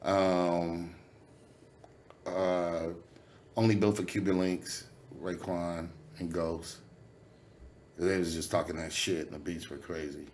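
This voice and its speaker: A man's voice: level tone, slow, pauses often, a little mellow, a little hiss or hum. A man, a rapper talking candidly as an interview guest, swearing freely and full of fillers. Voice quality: nasal yet low voice. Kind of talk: casual interview conversation.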